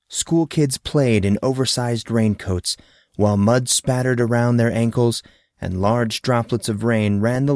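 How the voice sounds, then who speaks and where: A man's voice: mid-range voice, a little mellow, no echo, studio quality. A man reading a fantasy novel aloud as narrator, in a friendly storytelling manner. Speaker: audiobook narrator.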